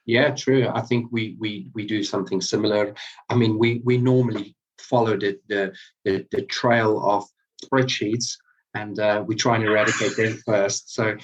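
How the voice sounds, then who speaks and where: A man co-hosting an interview, talking casually and halting, often repeating words as he thinks. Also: on the low side, steady tone, slight background noise. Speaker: podcast co-host. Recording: distant, dull lo-fi sound.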